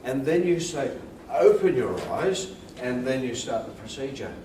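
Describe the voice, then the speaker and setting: An Australian man with a deep voice, calmly and deliberately teaching and demonstrating hypnosis in a soft-spoken, repetitive way. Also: a little hiss or hum, rough recording. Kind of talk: hypnosis instruction and induction, softly spoken.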